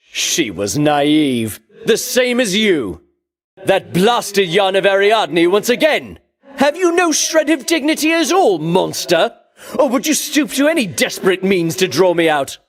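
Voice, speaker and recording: Casting: a boastful ancient Greek champion king in a game, high ringing voice, theatrical pomp, wildly swooping intonation. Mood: indignant. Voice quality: ringing, declamatory. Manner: pompous, archaic grandiose diction. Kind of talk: theatrical video game voice acting.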